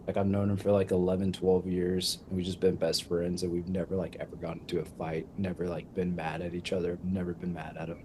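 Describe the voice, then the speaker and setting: Eager young guy from a band, low voice, bit of faint noise behind. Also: completely flat delivery, mellow. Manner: upbeat, eager, talks in quick bursts. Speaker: band member guest. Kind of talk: casual interview chat.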